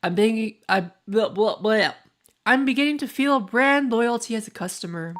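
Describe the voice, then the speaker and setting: Raspy young woman streamer. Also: on the high side, expressive, moderate pace, a bit bright, a little hiss or hum. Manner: chatty, rambling, filler-heavy. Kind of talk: casual live-stream gaming chat. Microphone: desk microphone.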